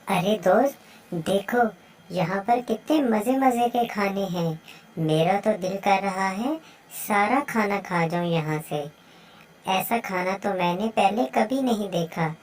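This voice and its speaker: A woman's voice: mid-range voice, unhurried, darkish tone, natural voice. A woman voicing an eager, playful young bird child in a children's moral story cartoon. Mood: excited.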